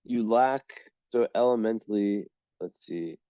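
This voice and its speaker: A man working through an astrology chart reading on his own, halting and rambling as he goes, clearing his throat often, a little hoarse. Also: on the low side, warm tone, no echo. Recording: dull lo-fi sound.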